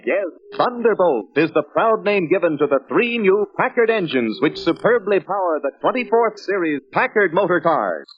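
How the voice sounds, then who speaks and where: A man's voice: high voice, very animated, moderate pace, hardly any pauses. A man with an American accent reading a scripted 1950s promotional narration in an emphatic, polished announcer style. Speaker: narrator of a sales training film. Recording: tinny vintage film soundtrack, narrow band.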